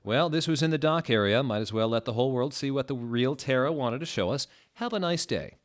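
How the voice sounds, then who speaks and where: A man's voice: mid-range voice, fairly quick, voice pushed up, lightly acted. A man voicing a snide, mocking troll who annotates a story, in a comedic reading. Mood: smug. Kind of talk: comedic read-out of notes.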